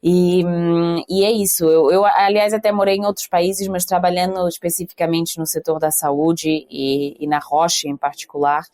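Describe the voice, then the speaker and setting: A woman guest with a mixed Portuguese and Brazilian accent, speaking in a measured way with hesitant pauses. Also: fairly low pitch, level tone, a little mellow, no room sound, studio quality. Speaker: guest executive. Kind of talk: podcast interview.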